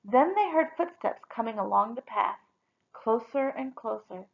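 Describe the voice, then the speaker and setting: Woman storyteller, fairly high voice, gravelly edge, expressive book reading, muffled lo-fi recording. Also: unhurried. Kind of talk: expressive read-aloud storytelling.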